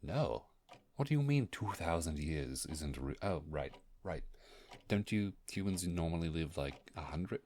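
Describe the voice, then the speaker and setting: An extremely deep-voiced ancient beast of learning, played by a man, speaks in grand, wordy phrases. The creature is bookish and socially awkward, hesitating as it tries to impress. It is an intimate roleplay monologue with faint noise behind the voice. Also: expressive, unhurried, a little mellow. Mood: flustered, musing. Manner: pompous wordy diction with fumbling hesitations. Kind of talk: immersive roleplay audio monologue.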